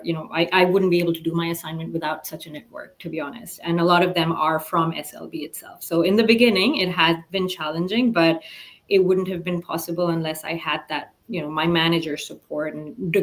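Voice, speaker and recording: A woman in her forties from the Emirates answers an online interview in an even, measured way. She fills her pauses with soft ums, and her voice sits a little low. It sounds like a video call. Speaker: interview guest.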